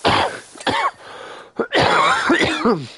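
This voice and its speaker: A man's voice: very high-pitched, huge pitch swings, drawn-out words, sharp tone, no echo. Sarcastic man grumbling over a game. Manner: sarcastic, grumbling, talks back to the game's characters. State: getting over a cold, with a lingering cough. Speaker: gaming streamer. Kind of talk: casual livestream game commentary.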